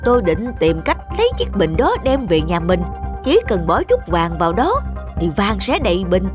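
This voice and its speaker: A scheming rich landlord from a cartoon folk tale, greedy and full of bluster. His pitch jumps around wildly as he exclaims, with music playing behind him. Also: wildly swooping pitch, normal speed. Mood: greedy, plotting. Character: greedy, scheming rich village landlord, fat middle-aged man.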